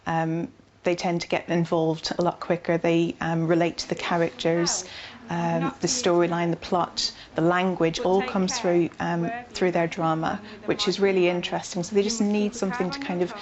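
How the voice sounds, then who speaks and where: A woman's voice: on the low side, little pitch movement, moderate pace, highs cut off, a crowd in the background. A woman explaining things informally in an interview, as a teacher. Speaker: English teacher.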